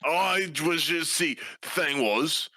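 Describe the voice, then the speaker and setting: A man's voice: on the high side, lively intonation, slow, bright, forceful tone, no room sound, studio quality. A man playing a tabletop game, improvising in character with a guarded, blunt, wry manner.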